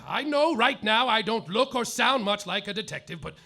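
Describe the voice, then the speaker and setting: A man narrating and acting a hardboiled detective in a live stage comedy, deadpan noir delivery with sudden yelled outbursts. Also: sits high, normal speed, gravelly. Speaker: lead performer in a live comedy play, as the detective.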